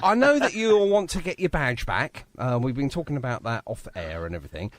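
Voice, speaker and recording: A man's voice: mid-range voice, very animated, brisk, fairly bright tone, dry recording. An English man hosting a radio show, chatting effusively with a guest about music and agreeing often.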